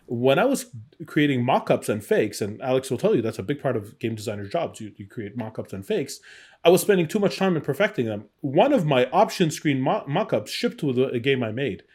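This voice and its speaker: A man's voice: medium pitch, very animated, a little fast, a little mellow, husky. A middle-aged man born in Iraq, talking candidly as an interview guest and acting out remembered remarks as he tells his story. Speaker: interview guest, game designer. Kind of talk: reflective personal interview, conversational storytelling.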